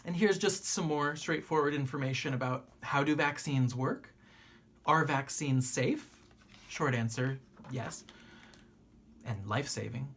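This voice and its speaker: A woman reading a children's book aloud like a teacher, with deliberate phrasing and expressive pauses. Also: a bass voice, a little hiss or hum.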